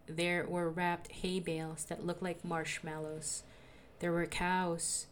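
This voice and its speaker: A young woman with a soothing voice, calmly narrating a personal audio diary as a podcaster. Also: lowish voice, takes it slowly, slight background noise. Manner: calm, reflective, confessional.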